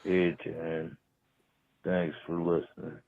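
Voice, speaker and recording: A drunk, drowsy man leaving a rambling voicemail as a caller, halting between trailing phrases. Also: low voice, level tone, dry recording. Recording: muffled, phone-like voicemail recording.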